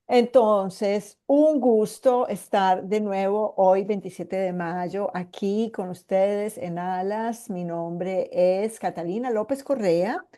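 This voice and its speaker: A woman in her fifties from Colombia with a Paisa accent, a geneticist giving an online presentation and explaining patiently while pausing to find her words. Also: medium pitch, dark tone. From Colombia (Medellín). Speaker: geneticist and guest speaker. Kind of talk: online presentation to a support group.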